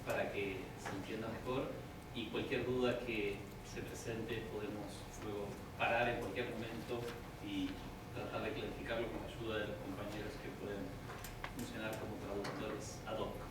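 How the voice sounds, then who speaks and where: An Argentine criminology professor, a Spanish-speaking man, giving an academic lecture in a halting way, with restarts. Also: lowish voice, flat delivery. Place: university auditorium. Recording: echoey lecture hall from far off, noisy.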